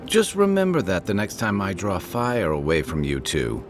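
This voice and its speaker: A man's voice: sits low, huge pitch swings, moderate pace. A man playing a big, easygoing, protective soldier companion in a video game, with a wry manner. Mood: teasing, alert. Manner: wry, drawling, nautical slang. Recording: background game music.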